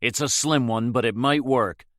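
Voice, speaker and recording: A man's voice: medium pitch, normal speed, fairly bright tone, dry recording, natural voice. Hard-bitten captain, clipped and dry, voiced by the male narrator. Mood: tense. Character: tough, tense male boat captain.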